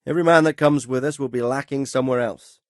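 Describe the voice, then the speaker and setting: Man playing a measured, commanding medieval lord, dry studio sound. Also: mid-range voice, no room sound, lightly acted. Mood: serious, commanding. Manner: authoritative, measured, formal, wryly sardonic. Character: stern, dry-witted medieval lord commanding his men. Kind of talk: video game dialogue acting.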